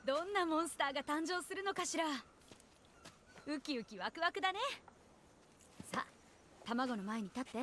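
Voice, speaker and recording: A woman's voice: high-pitched, expressive, quick pace, pauses often, noisy background. A woman playing a cheerful, encouraging young village woman in a Japanese video game, guiding kindly. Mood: excited.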